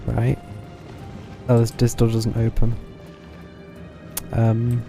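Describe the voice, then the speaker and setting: Streamer role: gravelly, understated voice at middling pitch, casual gameplay commentary, game music behind. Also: completely flat delivery, takes it slowly. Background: video game music.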